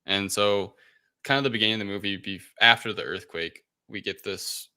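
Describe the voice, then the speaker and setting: The deep-voiced guy at the head of a movie podcast, feeling his way through a recap in a sharp, forward tone. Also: normal speed, clean tone. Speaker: podcast host. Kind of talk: casual film review discussion.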